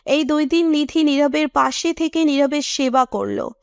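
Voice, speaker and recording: A woman narrates a scripted romance. Her voice is high, and the recording is dry and close with hardly any room sound. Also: a little slow, creaky, darkish tone, no room sound.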